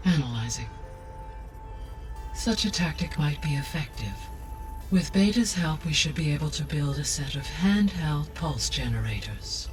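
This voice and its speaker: A man playing a calm, precise artificial intelligence in a video game, speaking in a measured, formal, analytical way. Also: fairly high pitch, recorded from a distance. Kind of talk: scripted video game cutscene acting. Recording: music behind the voice.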